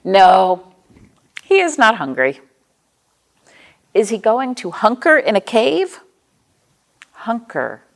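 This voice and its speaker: A woman leads a storytime for little children. Her pitch swings widely and expressively, with a creaky edge to the voice. She talks directly to her young listeners and draws them in with questions. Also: big pitch swings, slow pace, pauses often. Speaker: children's librarian and storytime host.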